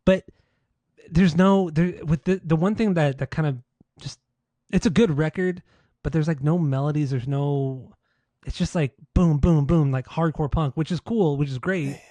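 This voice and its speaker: A man's voice: a little high, moderate pace, warm tone, no room sound. An American man co-hosting a podcast, chatting casually about music in an informal, slangy, sweary way. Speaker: podcast co-host.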